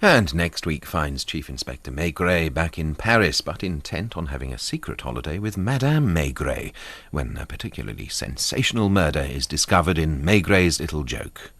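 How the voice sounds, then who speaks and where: A man's voice: a bass voice, big pitch swings, moderate pace, hardly any pauses, a bit bright, no echo. A man reading a scripted programme announcement as a radio continuity announcer.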